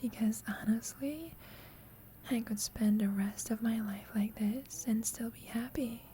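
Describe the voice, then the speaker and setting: A young woman playing a shy, lovestruck student roommate in an intimate ASMR roleplay, confiding hesitantly in a soft, breathy whisper. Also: fairly high pitch, a little slow, lightly acted. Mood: tender, content.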